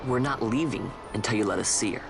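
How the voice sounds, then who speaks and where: Stubborn young game hero, blunt and casual, bit low, music in the back. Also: male voice, quick pace, vocal fry. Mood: defiant. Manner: blunt, informal, defiant. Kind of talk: video game voice acting.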